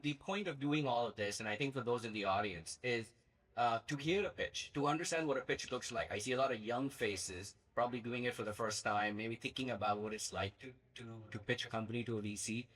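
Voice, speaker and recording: A man from Pakistan, a fund founder, explaining the panel to the audience in a relaxed way. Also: medium pitch, fairly quick, breathy edge, low-quality recording.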